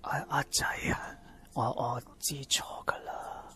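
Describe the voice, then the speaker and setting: A man acting a grasping, abusive old father in a radio drama, with a Hong Kong Cantonese accent and a halting, coarse delivery. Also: pushed and bright, whispered. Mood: weak, remorseful. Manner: halting, stammering, groaning, coarse. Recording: music behind the voice.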